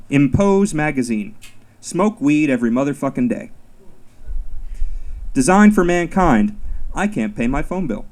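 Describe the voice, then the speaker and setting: A man reading his own first-person comic essay at a live literary reading, in measured, deliberate phrases with mock-serious emphasis. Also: fairly high pitch, a little mellow. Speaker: author reading own work.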